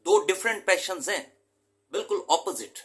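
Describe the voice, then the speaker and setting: A man from Pakistan lectures his students as an English teacher, earnest and emphatic, often dropping in English terms. His voice sits a little high and sounds bright and forceful. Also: expressive, moderate pace.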